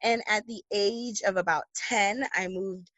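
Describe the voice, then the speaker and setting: A woman's voice: mid-range voice, steady tone, measured pace, a bit bright, dry recording, low-quality recording. American woman podcast host, dry close sound. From New York and Florida, US. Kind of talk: reflective solo podcast talk.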